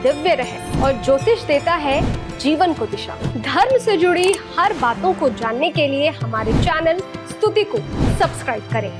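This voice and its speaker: A woman's voice: high-pitched, lively intonation, normal speed. A woman reading a scripted promo for a devotional channel as an announcer. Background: prominent music.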